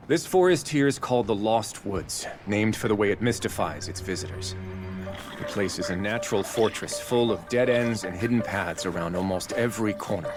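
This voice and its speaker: Man as low-voiced, courteous warrior leader. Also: close to an everyday voice. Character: courteous, confident male warrior leader of forest army. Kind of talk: video game cutscene acting.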